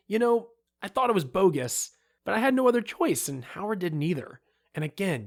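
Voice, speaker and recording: Guy acting as a frank movie director being interviewed, kinda high voice, very animated, emphatic. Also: no echo, clean studio sound, full character voice. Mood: resigned, frank. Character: candid film director recalling his work, resigned and frank. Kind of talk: re-enacted interview quotes.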